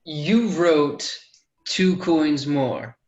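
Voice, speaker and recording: A man's voice: fairly high pitch, extremely slow, a little mellow, lo-fi sound, faint music behind. A man playing an earnest, reserved traveller in a western table read, speaking plainly. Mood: curious. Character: earnest, polite, reserved traveller riding with a posse.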